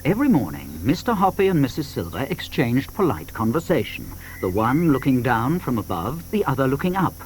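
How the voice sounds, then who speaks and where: A man narrating a dramatised children's story with expressive, measured phrasing. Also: medium pitch, slight background noise, rough recording.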